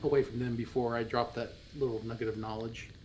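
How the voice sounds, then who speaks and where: A man's voice: medium pitch, level tone, quick pace. Casual male gamer, faint background noise. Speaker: roleplaying game player. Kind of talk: casual table talk. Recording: live stream with background noise.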